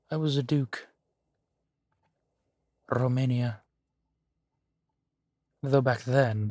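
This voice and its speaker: Man playing a slow-talking, genteel old vampire duke. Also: mid-range voice, no echo, studio quality, lightly acted. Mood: proud, reflective. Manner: unhurried, theatrical, savoring pauses with soft chuckles. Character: centuries-old male vampire duke, genteel, amused, doting host. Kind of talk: intimate roleplay audio drama.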